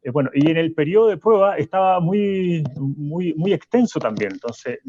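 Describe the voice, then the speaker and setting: A man's voice: fairly high pitch, lively intonation, normal speed, dark tone, lo-fi sound. A man playing a professor guest who stumbles and corrects himself. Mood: explaining. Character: male professor, opinionated guest. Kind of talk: radio drama acting an interview.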